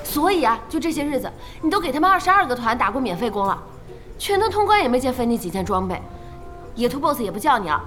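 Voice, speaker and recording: A woman's voice: high voice, sing-song, quick pace, a bit bright. A woman in an audio drama playing an outspoken, impatient young gamer who is chatty and nagging. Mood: nagging, puzzled. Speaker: voice actor in a radio drama. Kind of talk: animated audio drama dialogue. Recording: faint music behind the voice.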